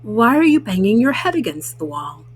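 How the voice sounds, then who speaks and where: A woman's voice: a little high, very animated, normal speed, slight background noise. A woman voicing a friendly, curious male frog from a storybook, speaking simply and earnestly.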